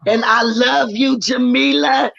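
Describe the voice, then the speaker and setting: A woman in her forties with a raspy, worn voice, telling stories loudly and with animation in a casual live-stream chat. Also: sits high, slow. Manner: loud, animated storytelling. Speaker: guest rapper. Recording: dull lo-fi stream audio.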